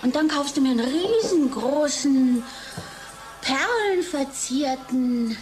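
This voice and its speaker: Tipsy bored wife, radio drama, high-pitched, slow, playful, dreamy drawl, music underneath. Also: female voice, expressive, darkish tone, low-quality recording.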